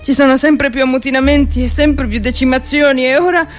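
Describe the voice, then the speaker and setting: A woman performing a theatrical monologue as a drunk, lonely woman soldier in a First World War trench, rambling and halting in her speech. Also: sits high, steady tone, soft background music, voice pushed up, close to an everyday voice. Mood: desperate, pleading. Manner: slurred, halting, tipsy rambling.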